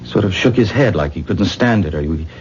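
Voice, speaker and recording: A muffled, lightly hissy 1970s radio drama recording of an earnest, self-deprecating man. His voice sits low and moves freely up and down as he hesitates and marvels. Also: animated, fairly quick, darkish tone. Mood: wondering, unsettled. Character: self-doubting, star-struck ordinary man confiding in a woman.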